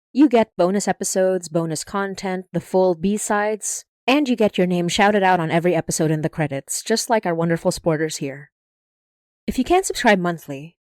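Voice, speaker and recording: A woman's voice: mid-range voice, no echo. A woman podcast showrunner reading scripted credits and announcements into a close mic.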